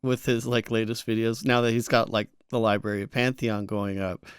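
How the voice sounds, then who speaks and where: Clear-voiced man chatting on a gaming podcast. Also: lowish voice, level tone, no echo, clean studio sound. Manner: informal, halting, punctuated with "like". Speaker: co-host of a gaming news recap show. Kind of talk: casual podcast chat.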